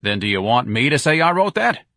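A man voicing an impatient, gruff businessman husband in an audiobook. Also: mid-range voice, sing-song, brisk, bright, forceful tone, no echo, clean studio sound, voice pushed up. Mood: irritated. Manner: impatient, blunt. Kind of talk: audiobook character voice.

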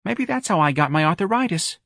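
A man's voice: high voice, expressive, fairly quick, gravelly, fairly bright tone, no room sound, clean studio sound, voice pushed up, full character voice. A man voicing a whiny, self-pitying older working-class mother in an audiobook, plaintive and folksy. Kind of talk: audiobook character dialogue.

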